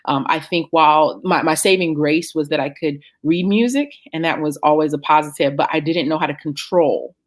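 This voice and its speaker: A woman in her thirties with a notably low speaking voice answers interview questions. She talks reflectively, pausing on fillers and restarting her sentences as she goes. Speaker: opera singer, interview guest.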